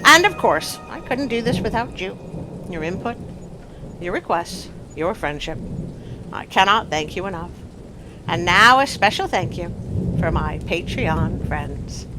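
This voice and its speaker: A woman storyteller and channel host with an expressive, dramatic delivery, reading scripted stories for children. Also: fairly low pitch, unhurried, over music, rough recording.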